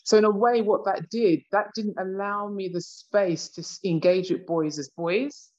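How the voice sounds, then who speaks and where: British woman, 50s, reflective talker, mid pitch, muffled recording. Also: steady tone, moderate pace, a little mellow. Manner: reflective, many "you know" asides. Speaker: discussion participant. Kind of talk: casual conversation.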